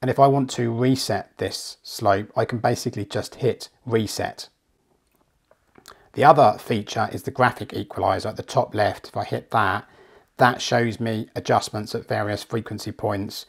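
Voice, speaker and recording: A man with a croaky, flu-worn voice gives a chatty, steady step-by-step walkthrough as a hifi reviewer. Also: fairly low pitch, moderate pace. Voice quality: gravelly. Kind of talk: screen walkthrough tutorial. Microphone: voice-over.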